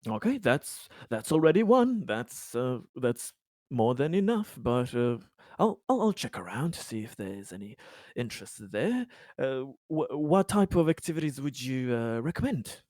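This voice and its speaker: A courteous colony councilman, played by a man in a tabletop roleplay, eager to please. He talks haltingly and politely in a mid-pitched voice, rambling and repeating words, recorded close and dry. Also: very animated, darkish tone, dry recording, natural voice. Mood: polite, welcoming. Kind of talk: improvised in-character tabletop dialogue.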